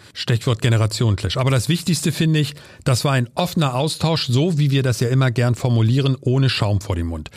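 Conversational male podcast host, medium pitch. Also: a little mellow.

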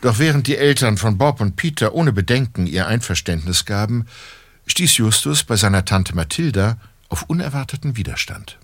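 A man playing the narrator of a detective audio drama, reading in a measured scripted style. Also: on the low side, lively intonation, a bit bright. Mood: narrating. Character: measured male storyteller of detective story.

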